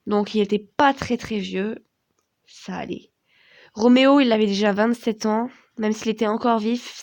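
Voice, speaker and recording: A young woman with a hoarse voice from a cold, telling a rambling personal story to her viewers with many fillers. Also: fairly high pitch, darkish tone. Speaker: vlogger. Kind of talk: casual storytelling to camera.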